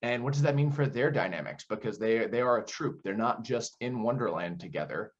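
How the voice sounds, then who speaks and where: A man's voice: medium pitch, expressive, fairly quick, highs cut off. A man answering interview questions conversationally as a director, explaining things and often posing rhetorical questions. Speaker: audio play director being interviewed.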